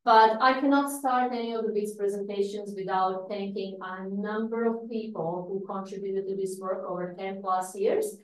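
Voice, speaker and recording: A middle-aged woman from Croatia giving an academic seminar talk, lively, with frequent fillers. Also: mid-range voice, moderate pace, few pauses. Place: seminar room. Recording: echoey room, speaker far from mic.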